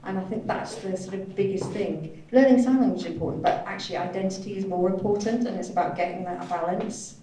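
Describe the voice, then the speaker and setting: A woman's voice: mid-range voice, fast talker, hardly any pauses, warm tone. A woman working as a live sign language interpreter, voicing speakers in a panel discussion. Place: echoey event room, indoors. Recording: very echoey hall, distant mic, faint noise.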